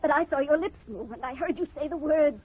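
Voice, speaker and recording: High-voiced, melodramatic young radio wife. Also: female voice, expressive, a little fast. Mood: furious, accusing. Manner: melodramatic, breathless urgency. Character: anguished, accusing young wife, passionate and indignant. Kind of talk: 1940s radio drama acting. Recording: dull, hissy vintage radio recording.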